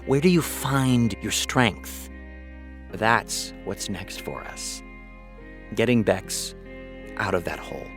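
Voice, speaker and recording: A man's voice: on the low side, expressive, slow pace, pauses often, music in the background. A man playing a reflective, earnest show creator giving a solemn documentary-style interview.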